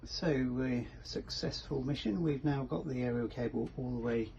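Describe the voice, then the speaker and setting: Man with a mellow voice, informal walkthrough. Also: mid-range voice, moderate pace, gravelly. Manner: informal, hesitant, feeling for words while working. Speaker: presenter of a boat fitting shop's how-to videos. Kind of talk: hands-on DIY walkthrough, informal commentary. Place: aboard a small cabin motorboat. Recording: dull, lo-fi camera sound with faint noise.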